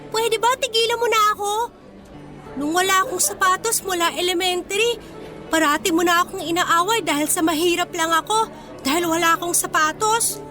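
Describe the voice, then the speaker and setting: Earnest, very high-voiced Filipino schoolgirl. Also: moderate pace, pushed and bright, faint music behind. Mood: defiant. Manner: earnest, emphatic, emotional. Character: poor, proud, kind schoolgirl standing up to bullies. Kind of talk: Filipino radio drama acting.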